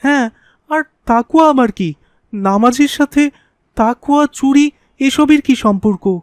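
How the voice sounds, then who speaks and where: A curious young cartoon character acted by a woman talks in a fairly high voice. The manner is playful yet earnest and inquisitive, recorded dry and close. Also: unhurried, darkish tone, no echo. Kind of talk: scripted Islamic cartoon dialogue.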